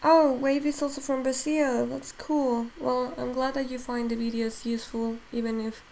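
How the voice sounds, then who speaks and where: A woman from Mexico whose first language is Spanish talks her way through a digital sculpting demo, thinking aloud with plenty of fillers. Her voice sits a little high and has a gravelly fry to it. Faint background noise runs under the stream. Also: level tone, normal speed, a little mellow, rough recording. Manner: thinks aloud while working, many fillers. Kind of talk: casual live-stream software demo.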